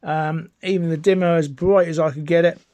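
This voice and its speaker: Higher-voiced British man, chatty hobbyist demo. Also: fairly even intonation, brisk, darkish tone, no echo. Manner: chatty, enthusiastic, rambling. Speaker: amateur radio enthusiast presenting a video. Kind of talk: informal product demonstration to camera.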